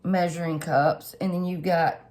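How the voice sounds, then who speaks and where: A woman, a little hoarse and stuffed up, chatting homily through a cooking tutorial for her viewers. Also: on the low side, little pitch movement, measured pace, darkish tone. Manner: homey, chatty, lots of fillers, addresses viewers directly. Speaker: home cook channel host.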